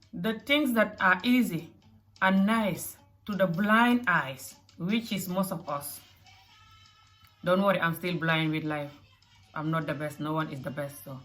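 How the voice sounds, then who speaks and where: Low-voiced woman with African-Scottish accent. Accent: African and Scottish mixed. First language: not English. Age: adult mother. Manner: earnest, repeats phrases, emphatic. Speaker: vlogger. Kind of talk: motivational talk to camera.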